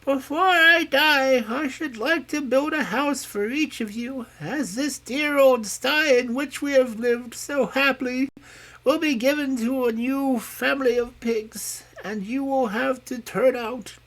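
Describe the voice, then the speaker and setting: A reader voicing an old, feeble mother pig who is loving and anxious, in a slow, earnest storybook telling. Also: sits high, a bit bright, pitched above the performer's own voice, poor audio. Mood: tender, worried. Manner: slow, tender, earnest pleading. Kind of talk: storybook reading.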